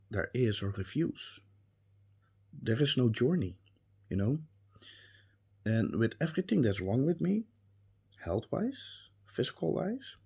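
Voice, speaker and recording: Slow, husky Dutch-accented man. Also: on the low side. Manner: hesitant, many ums and restarts; earnest and emphatic. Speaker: reviewer. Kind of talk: spoken testimonial.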